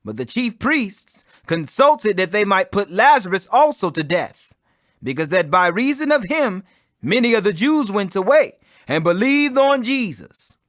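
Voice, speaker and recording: A man reading the Bible aloud as a dramatic audiobook, declamatory and expressive, with emphasis on quoted speech. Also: high-pitched, measured pace, no echo, clean studio sound. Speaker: scripture reader.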